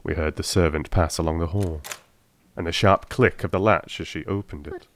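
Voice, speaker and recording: A man narrating an audiobook in a dramatic, measured, literary storytelling manner. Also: sits low, steady tone, a little hiss or hum.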